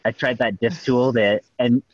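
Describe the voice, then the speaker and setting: A man's voice: medium pitch, fairly even intonation, normal speed, darkish tone, no echo. A man presenting at a meetup, explaining points agreeably over a video call. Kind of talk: casual video call discussion. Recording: dull dry video call audio.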